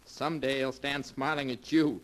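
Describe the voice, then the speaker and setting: An earnest, lovesick young man from a 1940s radio drama, acted by a man, pleading in a steady mid-range voice. Faint hiss from the old recording runs underneath. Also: mid-range voice, normal speed, close to an everyday voice. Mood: warning.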